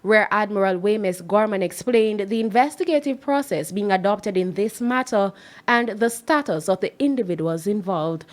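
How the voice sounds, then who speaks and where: A woman's voice: medium pitch, moderate pace. A woman with a Jamaican accent reading a scripted television news report.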